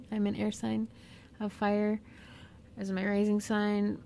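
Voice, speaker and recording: A woman with a light Southern California accent, whose first language is Spanish, muses aloud without a script. Her voice carries heavy vocal fry and stays fairly level in pitch. A faint hiss sits under the recording. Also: little pitch movement, mellow. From Mexican-American. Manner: slow, reflective, with pauses and fillers. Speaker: podcast host, therapist. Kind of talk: unscripted personal monologue.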